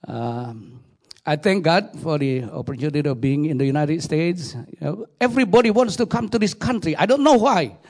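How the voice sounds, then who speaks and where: Indonesian-accented male guest preacher, middle-aged, slightly high voice, halting English with many "uh"s. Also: lively intonation, darkish tone. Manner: hesitant, repeats words, frequent "uh" fillers. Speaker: guest pastor. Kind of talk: sermon introduction.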